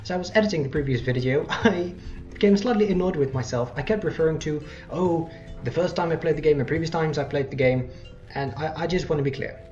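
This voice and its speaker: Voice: medium pitch, fast, darkish tone. Casting: gameplay host, casual and rambling, self-correcting, lo-fi dull mic with game music underneath. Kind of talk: casual let's play commentary.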